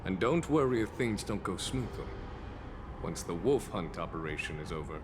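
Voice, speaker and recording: A man voicing a calm, authoritative general in a game, speaking in a measured, courteous way. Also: low-pitched, background noise. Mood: calm, assured. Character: calm, authoritative male general, trusting and unhurried. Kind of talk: scripted game dialogue.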